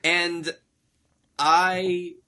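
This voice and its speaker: A man's voice: high-pitched, flat delivery, extremely slow, pushed and bright. A young man co-hosting a podcast, telling casual comic stories with wry mock-seriousness and some swearing. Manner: wry mock-seriousness, sweary, acts out his own thoughts. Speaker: podcast co-host. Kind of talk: casual comedic storytelling chat.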